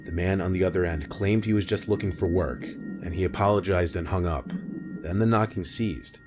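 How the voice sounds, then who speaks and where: A man reading a scripted scary-story narration in a measured, matter-of-fact way. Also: deep voice. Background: music bed.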